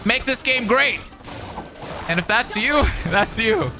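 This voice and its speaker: Animated male game streamer reacting. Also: very high voice, slow, gravelly. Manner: animated, exclamatory, slangy. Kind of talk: casual live reaction commentary. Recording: game music behind. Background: game music.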